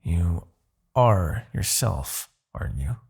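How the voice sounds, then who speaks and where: A man voicing an arrogant, brooding demon king in a fantasy ASMR roleplay, condescending and theatrical, with a husky, gravelly low voice and pauses. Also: lively intonation, exaggerated voice. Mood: commanding, wary. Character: arrogant demon king, gloating yet begrudgingly attached captor.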